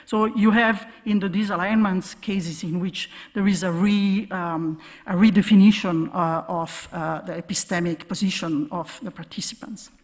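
A woman's voice: fairly low pitch, fairly even intonation, darkish tone. Woman lecturing in a reverberant hall. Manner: hesitant, halting delivery with many repeated words. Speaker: keynote speaker, academic researcher. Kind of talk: academic conference lecture, explanatory. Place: large conference hall stage, at podium.

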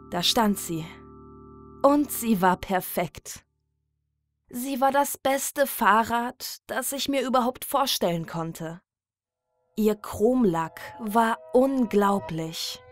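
A woman narrating a scripted horror story in a measured, dramatic delivery. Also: medium pitch. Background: music.

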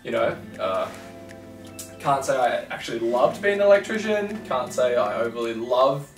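A man's voice: medium pitch, sing-song, moderate pace, recorded from a distance, faint music behind. A young man chatting casually as podcast host from an armchair in a living room, laid-back with lots of fillers and swearing. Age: young adult. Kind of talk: casual chat. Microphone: far camera mic. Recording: roomy sound from across the room.

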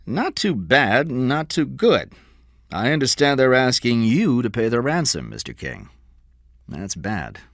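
A man's voice: medium pitch, huge pitch swings, a little slow, no room sound, studio quality, pitched above the performer's own voice, heavily acted. A man voicing a frank, practical police lieutenant in an audiobook crime story, speaking in an emphatic, businesslike way.

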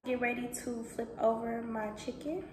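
A woman's voice: a little high, fairly even intonation, moderate pace, over music. A young woman talking viewers through a home cook-along in casual, chatty speech, calling them y'all and boo. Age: young adult. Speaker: cooking vlogger. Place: home kitchen, indoors. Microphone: camera on counter, at a distance. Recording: echoey kitchen with noticeable background noise.

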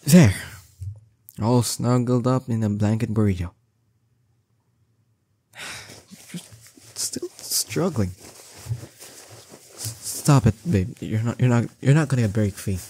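A young man playing a strong, doting boyfriend in an intimate roleplay audio drama, coaxing and teasing affectionately with drawn-out words. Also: medium pitch, mellow, slightly rough. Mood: gently insistent. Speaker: voice actor.

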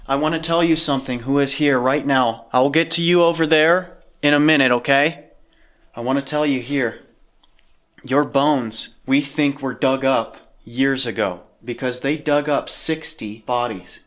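A man's voice: medium pitch, measured pace, a bit bright. A young man hosting a ghost-hunting vlog inside a cave, talking casually and earnestly with some swearing. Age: young adult. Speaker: paranormal investigator host. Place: inside a dark cave at night.

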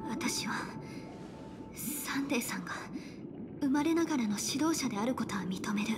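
Actress as high-voiced, gentle, resolute young woman. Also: animated, moderate pace, natural voice. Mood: quietly resolute. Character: gentle but resolute young woman standing her ground. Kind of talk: Japanese video game voice acting. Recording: music under the voice.

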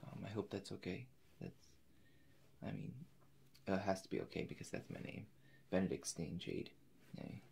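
A young man with a very low, creaky voice plays an eccentric painter in an ASMR roleplay. He speaks softly and haltingly, sometimes dropping to a whisper. There is clear noise behind him. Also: fairly even intonation. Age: 20s. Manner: hesitant, halting, slipping into whispers. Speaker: ASMR performer. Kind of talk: soft-spoken ASMR roleplay. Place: indoors in front of a forest backdrop.

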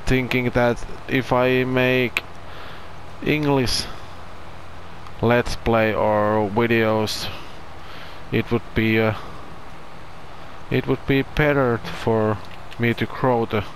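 A man's voice: mid-range voice, fairly even intonation, drawn-out words, slight background noise, low-quality recording. A man with a Finnish accent, a non-native English speaker, doing casual commentary over farming gameplay and searching for his words. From Finland. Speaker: gaming channel host.

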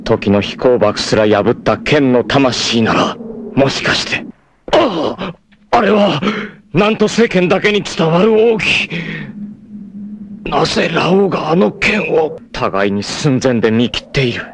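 A man's voice: on the high side, animated, unhurried, creaky, slight background noise. An actor voicing a proud, fierce young male martial arts warrior in a 1980s anime, with a grave, intense and dramatic delivery. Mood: tense.

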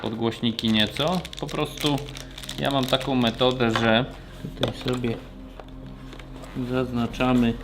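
A man's voice: mid-range voice, steady tone, unhurried, long pauses, very noisy. A man gives a casual hands-on tutorial as a technician, explaining step by step in a plain, practical way. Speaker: motorcycle shop technician demonstrating installation.

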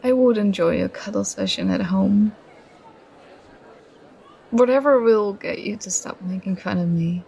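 A woman's voice: mid-range voice, big pitch swings, vocal fry, dark tone, rough recording. A young woman playing a nerdy, affectionate girlfriend who gets flustered and bashful, in an ASMR roleplay. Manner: bashful, halting, playfully protesting.

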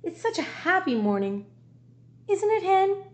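A woman voicing an excitable woman farmer in a children's storybook, exclaiming in a sing-song, drawn-out way. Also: extremely high pitch, pitched above the performer's own voice, exaggerated voice. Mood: cheerful greeting. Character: startled, cheerful woman farmer waking up. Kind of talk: storybook character reading for children.

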